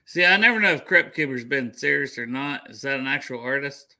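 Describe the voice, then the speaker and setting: A man's voice: mid-range voice, lively intonation, brisk, pushed and bright. A man in his late thirties who co-hosts, joking and teasing the guest in casual banter. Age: 30s. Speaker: co-host.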